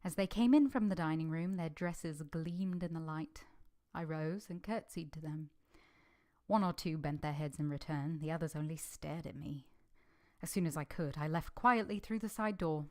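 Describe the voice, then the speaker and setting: Give me a woman playing a reserved young governess with a lowish voice, earnest and composed, in old-time radio drama style. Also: moderate pace, darkish tone, natural voice. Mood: composed, reflective. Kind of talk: vintage radio drama acting and first-person narration.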